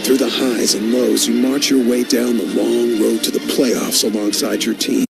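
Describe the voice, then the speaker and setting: A man reading a scripted radio advert with measured, dramatic phrasing. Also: mid-range voice, fairly even intonation, creaky. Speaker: commercial announcer. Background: music bed.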